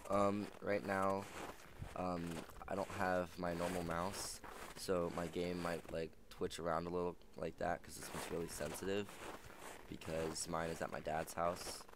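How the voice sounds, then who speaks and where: A young man with a sick, slightly raspy voice, chatting casually with many fillers while playing a hunting game. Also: low-pitched, level tone, measured pace. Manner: rambling, filler-heavy, chatty. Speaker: gaming channel host. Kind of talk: casual let's play commentary. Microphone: old, static-prone headset mic. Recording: noisy home mic with static.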